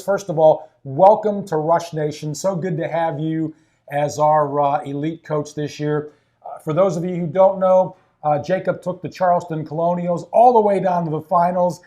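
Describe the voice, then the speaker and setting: A man's voice: a little high, mellow. A man hosting a casual sports interview in a coach's office at an ice rink, upbeat and chatty with fillers. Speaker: team broadcaster and host.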